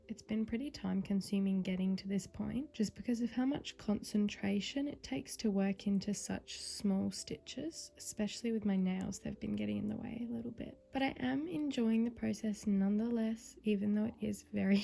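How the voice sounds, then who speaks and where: A young woman vlogging casually about her crochet at home, her voice croaky and a bit nasal from a cold. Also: medium pitch, normal speed, few pauses. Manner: soft, chatty, relaxed, sometimes whispering. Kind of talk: casual vlog narration.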